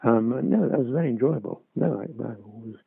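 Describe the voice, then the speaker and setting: An older actor reminiscing in an interview, hesitant with many restarts and chuckles. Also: male voice, medium pitch, brisk, vocal fry, breathy edge, no echo. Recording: dry, tinny phone-like line.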